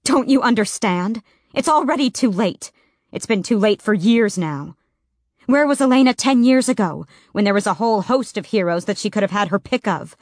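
A woman voicing a fierce, bitter young woman fighter in an audiobook, speaking sharp and raised. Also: medium pitch, lively intonation, normal speed, dry recording, studio quality, lightly acted. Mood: furious.

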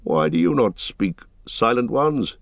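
A man's voice: lowish voice, unhurried, dry recording, voice pushed up. A stern, haughty horse-lord acted out by a male reader from a fantasy audiobook. He speaks in formal, archaic phrases with a challenging, suspicious edge. The sound is dry and close. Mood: stern, threatening. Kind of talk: dramatic audiobook fantasy reading.

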